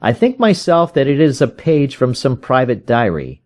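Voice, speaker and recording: A man's voice: medium pitch, sing-song, normal speed, darkish tone, voice pushed up, natural voice. A man voicing a helpless, frightened young client in an audiobook, with earnest and formal Victorian diction. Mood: hesitant, polite. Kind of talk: audiobook character voice in a detective story.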